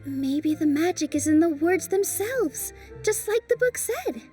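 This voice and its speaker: A young woman voicing a gentle, hopeful dancer who works magic, speaking softly and earnestly in a game cutscene. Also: very high voice, animated, a little slow. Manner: soft, earnest, encouraging. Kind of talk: video game cutscene dubbing. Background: faint game music.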